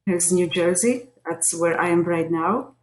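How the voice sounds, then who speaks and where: A woman's voice: lowish voice, measured pace. A middle-aged woman from Slovakia with a Slovak accent, giving an earnest, hesitant introduction of herself to a class. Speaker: teacher lead and behavior analyst. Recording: echoey room.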